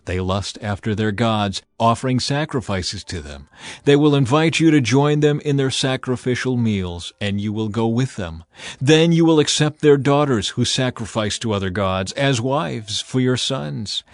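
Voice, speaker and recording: A man's voice: mid-range voice, lively intonation, moderate pace, dry recording, studio quality, close to an everyday voice. A man voicing a solemn, commanding God in dramatized scripture, grave and declamatory. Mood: stern, commanding. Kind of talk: dramatized audiobook acting.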